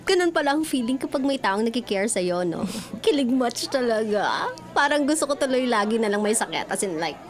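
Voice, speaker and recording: An actress playing a smitten, hopeful young woman in a Filipino radio drama, girlish and gushing with drawn-out words. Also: on the high side, very animated. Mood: thrilled. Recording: music bed behind voice.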